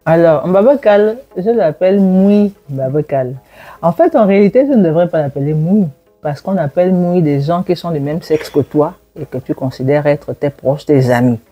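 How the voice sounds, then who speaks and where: A woman in her forties from Gabon speaks with a mellow, dark-toned voice, sitting for an interview. She talks reflectively, with hesitant fillers and an easy laugh. Faint music plays quietly behind her. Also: low-pitched, expressive, muffled recording. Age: 40s. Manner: reflective and warm in tone, laughs while recalling childhood, pauses with fillers. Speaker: university lecturer and researcher. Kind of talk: interview, personal testimony.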